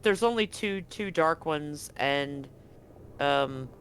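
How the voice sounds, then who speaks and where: A man narrating as game master, describing scenes in a halting way with frequent ums and restarts. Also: a little high, expressive, fairly bright tone, slight background noise.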